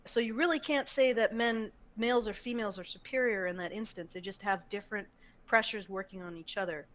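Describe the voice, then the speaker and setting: A woman co-hosts a casual online talk show. She reasons through her argument out loud, step by step. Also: mid-range voice, brisk, dull audio. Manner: analytical, reasoning aloud. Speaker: co-host. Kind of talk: casual podcast chat.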